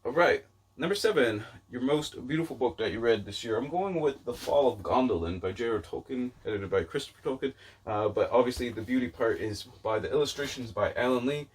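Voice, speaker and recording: A man's voice: mid-range voice, expressive, brisk, slightly rough, rough recording. A man talking casually to camera as a vlogger, in a rambling way that often doubles back mid-thought. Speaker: book vlogger and channel host.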